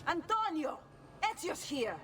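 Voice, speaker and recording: An actress playing a flirtatious, playful courtesan hostess in a video game, speaking in a teasing way. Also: female voice, very high-pitched, very animated, measured pace, a bit bright, a little hiss or hum, full character voice. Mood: calling out.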